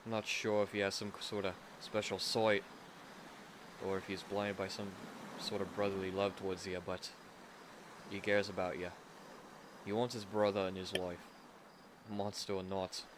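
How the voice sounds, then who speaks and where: A man voicing a gruff, blunt but loyal working-class fellow who talks colloquially in an audio roleplay. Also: deep voice, little pitch movement, moderate pace, pauses often, noisy background, natural voice. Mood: blunt, earnest.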